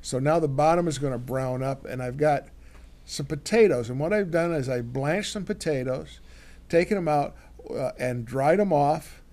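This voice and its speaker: An older man co-hosting a home cooking show, talking casually and haltingly as he demonstrates a recipe in his kitchen. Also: on the high side, darkish tone. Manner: rambling, halting with many fillers and restarts. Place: home living room, then kitchen.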